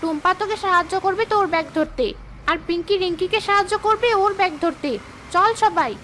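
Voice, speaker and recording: A woman's voice: very high-pitched, fast talker, a bit bright, slight background noise. A woman voicing a bossy, scolding female ghost schoolteacher in a children's cartoon. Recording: faint cartoon music behind.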